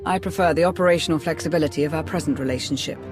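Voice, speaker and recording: An actor playing a cool, pragmatic military strategist in a video game, speaking in formal, precise phrases. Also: male voice, on the high side, very fast, natural voice. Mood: cool, assured. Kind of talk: video game cutscene acting. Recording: clear music under the voice.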